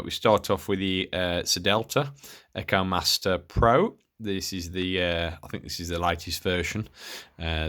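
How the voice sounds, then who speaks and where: Low-voiced British man, rambling through a demo. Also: a bit bright. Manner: rambling, hesitant, self-interrupting. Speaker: hobbyist showing and reviewing his radio gear. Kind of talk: casual unscripted equipment demo. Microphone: handheld camera.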